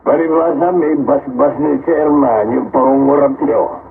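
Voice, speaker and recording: A rough, gravelly older gambling boss, as an actor plays him in a radio drama, his voice sitting mid-pitch and sneering at everyone. The sound is thin like a phone line, with a loud hiss underneath. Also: a little slow, natural voice. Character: older male gambling-ring boss, sly, grasping.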